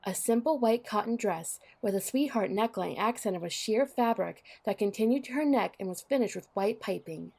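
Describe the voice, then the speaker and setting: A woman's voice: mid-range voice, lively intonation, normal speed, gravelly, hardly any pauses, warm tone. A woman narrating an audio drama in a scripted, descriptive storybook style.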